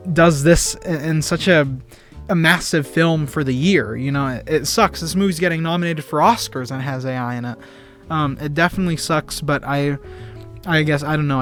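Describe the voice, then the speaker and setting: A man's voice: fairly high pitch, a bit bright. A young man giving a casual film review. He talks earnestly and enthusiastically and often repeats words and restarts his sentences.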